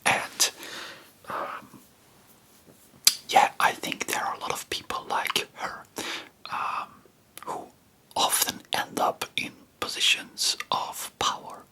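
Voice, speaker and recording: ASMR history host: breathy whisper with a very bright edge, informal and rambling delivery. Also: takes it slowly, pauses often, rough recording.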